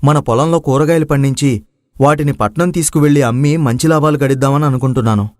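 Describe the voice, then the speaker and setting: A man's voice: medium pitch, quick pace, a little mellow, dry recording, studio quality, natural voice. A man acting an earnest, aggrieved young village son in a moral story drama. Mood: earnest, hopeful. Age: young adult.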